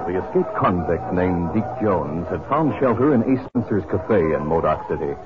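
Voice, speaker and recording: A man's voice: deep voice, expressive, normal speed, loud music behind the voice. A man narrating a 1950s radio western as its announcer, dramatic and scripted. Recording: muffled vintage radio broadcast.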